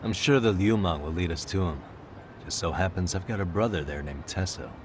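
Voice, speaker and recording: A man's voice: low voice, brisk, background noise. An actor playing a confident, casual male detective with a dry, probing manner in an English game dub. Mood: curious, calm. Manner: cool, casual, dryly confident. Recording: music behind the voice.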